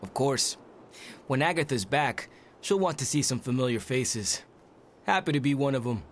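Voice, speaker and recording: An actor as a loyal young man in a video game. He is friendly and a bit awkward, with a mid-range voice that leaps around in pitch. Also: animated, moderate pace, a little hiss or hum, close to an everyday voice. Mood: friendly, earnest.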